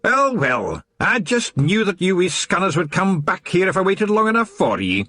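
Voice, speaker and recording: A man's voice: sits high, big pitch swings, moderate pace, bright, forceful tone, dry recording, pitched above the performer's own voice, full character voice. A man voicing a tiny Scottish fairy watchman, stubborn, earnest and easily indignant. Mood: smug.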